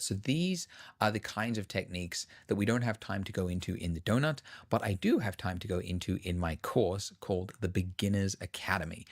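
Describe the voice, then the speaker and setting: A man talking through a 3D modeling tutorial over his screen, relaxed and full of fillers. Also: low-pitched. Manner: relaxed, filler-heavy explaining. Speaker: 3D software tutor. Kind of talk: screen-recorded software tutorial.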